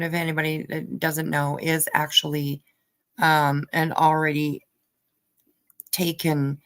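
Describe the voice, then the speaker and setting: A woman giving casual, halting commentary as host of a livestream. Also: sits low, creaky, fairly bright tone. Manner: halting, hesitant delivery with trailing asides. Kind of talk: casual livestream commentary over played clips.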